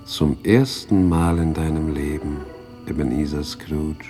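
An actor playing a commanding, stately spirit of Christmas past in a 1960s German radio drama, speaking in terse, solemn phrases. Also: male voice, very deep voice, fairly even intonation, a little slow, dark tone, faint music behind. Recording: echoey, distant 1960s radio studio.

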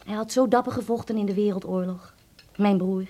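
A woman's voice: mid-range voice, brisk, warm tone, natural voice. An actress playing a proud, formal German woman who carries grief, in a radio drama. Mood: wounded, grave.

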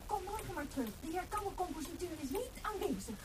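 High-voiced, sharp young wife. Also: female voice, quick pace, very noisy. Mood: nagging, worried. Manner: pointed, questioning, expressive. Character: composer's sharp, sceptical, teasing young wife. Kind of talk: Dutch radio drama acting.

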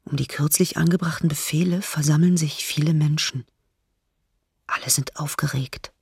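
An actress playing an anxious diarist, reading entries in a hushed, matter-of-fact way for a radio play. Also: female voice, sits low, fairly even intonation, moderate pace, creaky, breathy edge, dry recording, clean studio sound, heavily acted. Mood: subdued, uneasy. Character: anxious diarist observing an occupied city.

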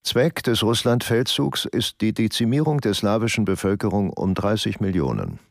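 A cold Nazi official who proclaims his war aims like a speech. His voice swings up and down with lively emphasis. The recording is dry and close. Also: lowish voice, normal speed, vocal fry, dry recording. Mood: declaiming. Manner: declaiming, oratorical. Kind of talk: documentary radio drama reading.

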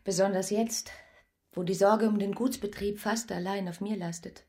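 An actress playing a demure, reserved young farmer's daughter in a literary radio play. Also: female voice, mid-range voice, steady tone, normal speed, warm tone, slightly rough, close to an everyday voice. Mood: quietly discontented.

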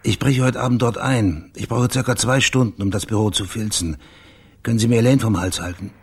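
A husky, fairly deep male investigator in a radio crime drama. He sounds matter-of-fact and dogged, pressing on in a businesslike way. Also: steady tone, brisk.

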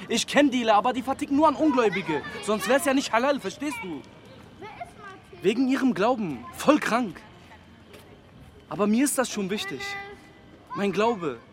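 A man's voice: very high-pitched, expressive, moderate pace, pauses often. A young man playing an earnest, streetwise student in a naturalistic radio drama, with slangy, emphatic talk. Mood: indignant, earnest. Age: young adult.

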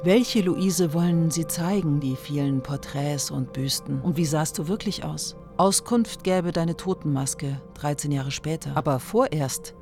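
A man narrating a literary radio play in measured, clipped poetic phrases, as if addressing its subject directly. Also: on the high side, a little mellow, soft background music.

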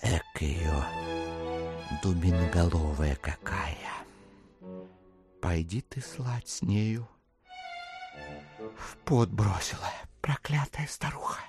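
An actor playing a scheming, smooth-talking gentleman swindler in a classic audio drama, insistent, wheedling and easily exasperated. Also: fairly high pitch, sing-song, a little slow, music in the background. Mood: muttering, exasperated. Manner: insistent, wheedling, theatrically emphatic.

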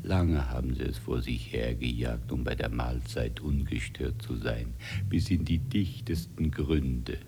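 An actor playing an old, grumbling, scornful bear in a theatrical 1950s German radio drama. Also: male voice, extremely low pitch, a little slow, mellow, slight background noise. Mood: scornful, gloating.

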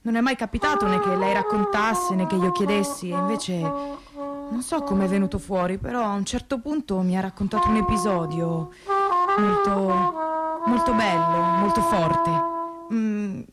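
A woman's voice: fairly high pitch, sing-song, normal speed. A woman acting a reflective granddaughter, rambling conversationally through a memory in a staged testimony reading. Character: granddaughter recalling her aged grandmother, reflective. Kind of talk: dramatic reading of spoken testimony. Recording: loud brass music over voice. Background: loud brass instrument music.

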